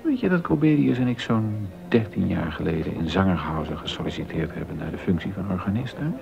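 A man's voice: low-pitched, expressive, a little fast, creaky, full character voice. An actor playing a curious, wry male court musician in a period docudrama, exclaiming and questioning. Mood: genial. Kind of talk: Dutch-language period docudrama acting. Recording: dull, muffled audio.

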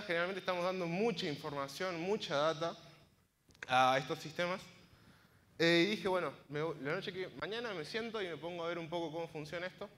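A man's voice: high-pitched, fairly bright tone. A man in his twenties with an Argentine Spanish accent, giving an informal conference presentation in a casual, colloquial storytelling manner. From Córdoba province, Argentina. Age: 20s. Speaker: conference speaker, software engineer. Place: large auditorium stage, indoors. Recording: reverberant hall sound from a stage.

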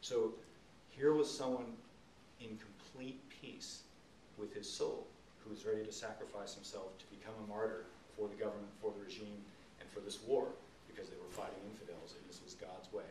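A middle-aged man speaking as an author at a public talk, explaining and telling stories in an earnest, emphatic way with halting pauses. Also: fairly low pitch, steady tone, darkish tone. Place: indoor event room, seated on stage. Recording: roomy, distant camcorder sound, faint noise.

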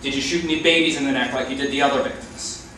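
A man's voice: mid-range voice, fairly even intonation, quick pace, sharp tone, close to an everyday voice. A young man playing a stern, accusatory war-crimes interrogator in a staged reading. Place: meeting room, staged reading at a table. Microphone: far camera microphone. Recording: very echoey room, far microphone, hiss.

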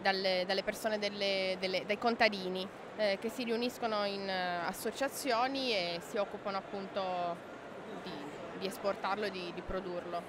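A young woman interpreting an interview at a trade fair, pausing with fillers as she searches for words, speaking into a handheld mic. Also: mid-range voice, steady tone. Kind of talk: interpreting Spanish to Italian. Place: busy indoor trade fair hall. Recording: clear background noise.

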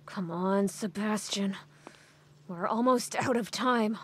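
A woman's voice: mid-range voice, slow pace, breathy edge. An actress playing a tough, guarded young female agent in a horror video game, with a terse, sardonic manner. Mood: tense, restrained.

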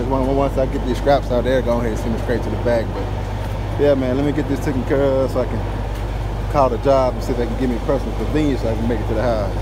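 Trucker, rambling over loud music. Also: male voice, mid-range voice, rough recording. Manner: loose, rambling, slangy. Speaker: truck driver vlogger. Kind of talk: casual handheld vlog narration. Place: on the move around truck yards, mostly outdoors. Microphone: handheld phone.

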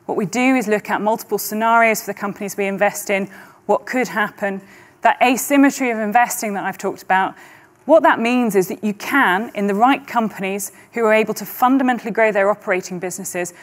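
A middle-aged woman presenting on stage at a conference, speaking in a measured, confident and persuasive way. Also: medium pitch. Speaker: investment trust client director presenting. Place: large conference auditorium stage.

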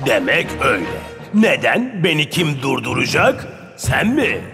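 A man voicing a menacing, theatrical sea-creature villain in a children's cartoon, with a gravelly voice. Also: medium pitch, very animated, takes it slowly, exaggerated voice. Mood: sly, menacing. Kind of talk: animated children's cartoon dubbing. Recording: music under the voice.

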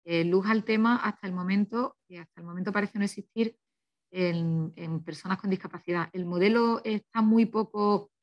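A woman gives a prepared conference talk haltingly, slightly muffled by a face mask. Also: medium pitch. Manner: halting, with repeated words and pauses. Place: small office, indoors. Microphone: video call computer microphone, through mask. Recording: dull lo-fi video-call audio.

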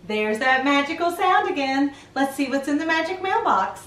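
A middle-aged woman hosting children's storytime, animated and sing-song, speaking directly to young listeners. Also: high-pitched, moderate pace, echoey room. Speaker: children's read-aloud show host. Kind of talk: children's storytime presenting and picture-book reading.

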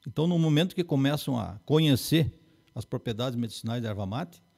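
A man in his fifties with a gaúcho accent from southern Brazil, a forestry engineer explaining as a guest in a small TV studio, reciting figures in a steady way. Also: mid-range voice, brisk, gravelly, breathy edge. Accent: Rio Grande do Sul gaúcho Portuguese.

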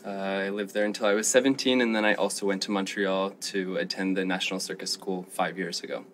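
A man's voice: sits low, level tone, brisk, fairly bright tone. A man in his twenties with an American accent, chatting casually as an interview guest. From Vermont, United States. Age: 20s. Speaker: guest, circus artist. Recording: noticeable background noise, room ambience.

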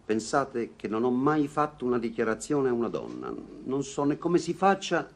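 An actor playing an awkward, earnest gentleman newly in love, speaking theatrically in a vintage Italian radio comedy. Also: medium pitch, big pitch swings, normal speed, gravelly. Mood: troubled, tender. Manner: theatrical, hesitant declarations.

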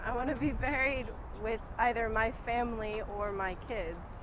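A young woman chats outdoors, firm and matter-of-fact. Her voice is a little high. Faint outdoor noise runs beneath her. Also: normal speed. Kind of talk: casual chat. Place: outdoors in a sunny cemetery.

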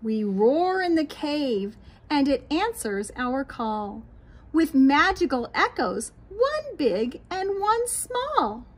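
A middle-aged American woman reading a picture book aloud to young children in an expressive, sing-song way, outdoors on a patio. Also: high-pitched, slow. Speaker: children's read-aloud host, teacher. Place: small backyard patio, outdoors. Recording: light outdoor background noise.

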